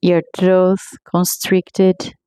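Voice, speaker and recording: A woman guiding a meditation in a slow, soothing, gently paced scripted voice. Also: lowish voice, completely flat delivery, clear, resonant tone, no echo. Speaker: meditation guide.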